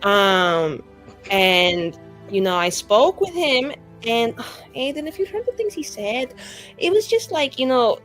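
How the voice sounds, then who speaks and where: A woman's voice: on the high side, big pitch swings, slow, a bit bright, rough recording. A woman improvising in character in a tabletop roleplaying game, chatty and hesitant as she rambles. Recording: music behind the voice. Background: background music.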